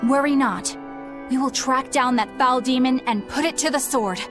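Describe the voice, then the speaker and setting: A woman's voice: high-pitched, normal speed, music in the background, lightly acted. An actress playing a formal, earnest young female exorcist in a game.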